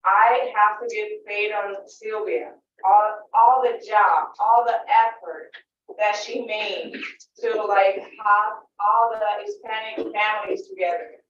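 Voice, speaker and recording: A woman from Puerto Rico with a Puerto Rican accent, giving halting, informal public comment as a parent. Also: medium pitch, steady tone, a bit bright. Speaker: parent speaking at a public meeting. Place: indoor public meeting room. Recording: very echoey room, distant muffled mic, noise.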